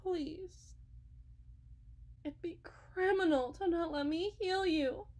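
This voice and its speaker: An unsettling female faith healer, acted by a woman in an audio roleplay, with a very high voice and a slow delivery. She preaches sweetly and theatrically, pleading as a self-styled prophet who is utterly fervent. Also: heavily acted. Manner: theatrical, pleading, sing-song preaching with drawn-out words and sudden hushes. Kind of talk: dramatic ASMR roleplay monologue.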